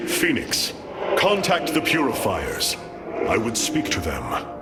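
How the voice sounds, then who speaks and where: An actor voicing a noble, earnest alien leader in a video game, with solemn, formal oratory. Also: male voice, on the low side, huge pitch swings, slow, over loud music, exaggerated voice. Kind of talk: dramatic video game voice acting.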